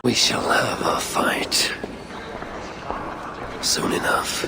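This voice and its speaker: An actor playing a proud, battle-worn Norse high god in a video game cinematic, gravelly, solemn and deliberate. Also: male voice, whispered, big-room sound. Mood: solemn, resolute. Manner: solemn, theatrical, deliberate delivery.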